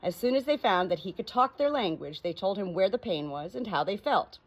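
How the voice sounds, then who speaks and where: A woman reading aloud as an audiobook narrator in an expressive storybook style. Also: mid-range voice, fairly quick, lo-fi sound. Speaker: audiobook reader. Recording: clearly noisy home recording.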